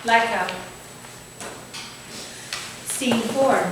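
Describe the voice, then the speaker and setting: A woman's voice: on the high side, very slow. A woman reading out stage directions as scripted narration for a staged play reading. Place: indoor theatre space, staged reading. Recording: very echoey hall, distant mic, faint noise.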